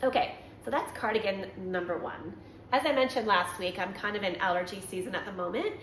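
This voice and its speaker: A woman from Canada hosting a knitting podcast, chatting casually and rambling with lots of ums and self-corrections, her voice slightly raspy from allergies. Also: mid-range voice, brisk, a bit bright, echoey room, slight background noise, low-quality recording. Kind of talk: casual show-and-tell chat.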